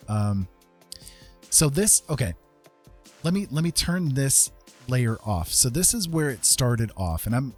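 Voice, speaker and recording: A man's voice: medium pitch, slightly rough. A man hosting a casual livestream as a digital artist, talking in a rambling, self-deprecating way with frequent chuckles. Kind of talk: casual livestream chat while painting. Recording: music playing behind the voice. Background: music.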